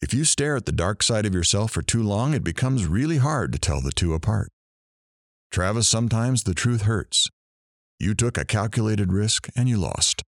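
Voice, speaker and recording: A man playing a wry, theatrical morning radio talk show host in an audio drama, with a showy deadpan delivery. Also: fairly low pitch, moderate pace, no room sound, clean studio sound. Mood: wry, reflective. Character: sardonic male morning radio DJ, theatrical and philosophical.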